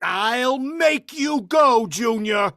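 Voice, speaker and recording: A woman's voice: fairly high pitch, expressive, creaky, fairly bright tone, a little hiss or hum, exaggerated voice. A woman playing an exasperated, blustering middle-aged father in a vintage-style radio play. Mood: pleading, stern. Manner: blustery, quick to give up in frustration.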